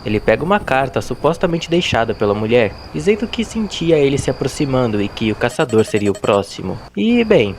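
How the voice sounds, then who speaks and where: A man's voice: medium pitch, big pitch swings, a little fast, hardly any pauses, a little hiss or hum. A man with a Brazilian accent hosting a video, giving casual storytelling commentary. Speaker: video host.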